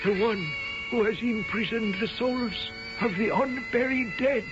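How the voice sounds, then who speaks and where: An actor playing an obsequious manservant in a radio drama, hesitant and flowery. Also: male voice, very high voice, a little slow, loud music behind the voice. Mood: fawning, hesitant. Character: obsequious Indian manservant, flowery, timid, slyly superior. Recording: dull vintage radio drama recording.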